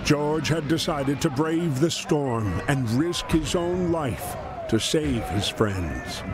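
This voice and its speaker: A man's voice: a little high, expressive, takes it slowly, background noise. A man giving solemn, scripted storybook narration for a war story game. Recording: music behind the voice. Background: music.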